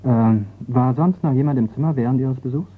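An actor playing a courteous, persistent insurance investigator in a radio crime play. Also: male voice, mid-range voice, fairly quick, natural voice. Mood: polite, probing. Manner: courteous, probing. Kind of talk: German radio drama acting. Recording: tinny, noisy radio recording.

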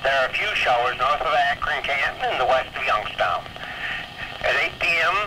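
A man's voice: medium pitch, normal speed. An American man reading scripted weather observations as an announcer on an old radio broadcast. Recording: very noisy 1979 weather radio recording.

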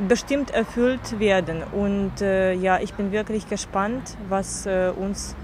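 A woman's voice: mid-range voice, fairly even intonation, slow, background noise. A woman from northern Russia, answering interview questions earnestly, recorded outdoors in a city. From Karelia, Russia. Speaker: cultural manager fellow.

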